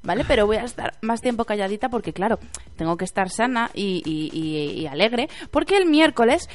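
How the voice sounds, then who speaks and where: A woman from Spain hosting a casual radio chat show, hoarse from a sore throat and playful, often laughing. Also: medium pitch, expressive, fairly quick. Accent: Spanish from Spain. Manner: playful, giggly, chatty. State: hoarse from pharyngitis. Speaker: podcast host.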